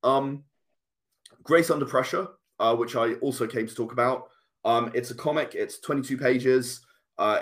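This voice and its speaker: A man in his late thirties, chatting casually as a podcast guest in an earnest, emphatic way, repeating phrases for stress. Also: mid-range voice. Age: late 30s. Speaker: podcast guest, comic and game creator. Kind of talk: casual conversational interview.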